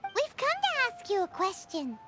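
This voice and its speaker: A woman voicing a tiny, excitable childlike fairy companion in a video game, squeaky, perky and blunt. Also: unhurried, exaggerated voice. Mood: excited. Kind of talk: video game character acting. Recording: background music over game dialogue.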